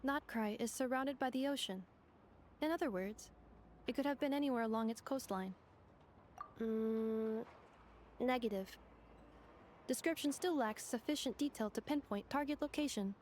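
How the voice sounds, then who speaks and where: A woman voicing a polite, precise female robot guide in a video game, speaking in a formal, measured, analytical manner. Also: on the high side, noisy background, lightly acted. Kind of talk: video game character dialogue. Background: game music.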